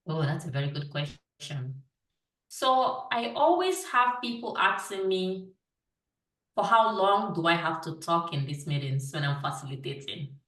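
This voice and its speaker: A woman's voice: lowish voice, big pitch swings, measured pace, a bit bright. A woman hosting an interview, speaking with a thick accent and laughing easily as she teases her guest. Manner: laughs often, friendly teasing. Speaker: podcast host. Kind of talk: casual interview chat. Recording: echoey room, distant mic.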